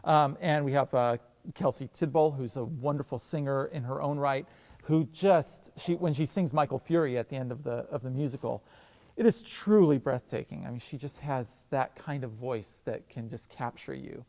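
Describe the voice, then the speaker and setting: A man's voice: medium pitch, normal speed, mellow, big-room sound. A man, a theatre director, answering interview questions in a thoughtful, enthusiastic way with many fillers and restarts.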